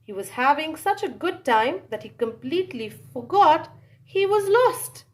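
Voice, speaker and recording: A woman with a high voice narrates a children's storybook. Her delivery swings widely in pitch, with dramatic pauses and animated exclamations as she reads aloud.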